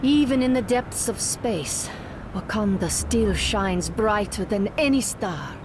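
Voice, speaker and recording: Poised hero, medium pitch, earnest, admiring, composed, video game. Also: female voice, lively intonation, slow, noisy background. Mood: reverent.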